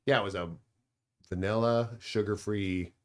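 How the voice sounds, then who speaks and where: Low-voiced man in casual banter. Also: steady tone, normal speed. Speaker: guest. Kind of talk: casual podcast chat.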